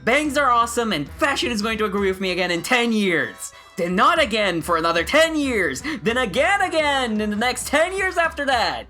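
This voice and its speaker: A woman's voice: on the high side, sing-song, normal speed, hardly any pauses, sharp tone, soft background music. A woman giving casual, sarcastic commentary on game mods, with playful mock-dramatic rants. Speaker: gaming video creator.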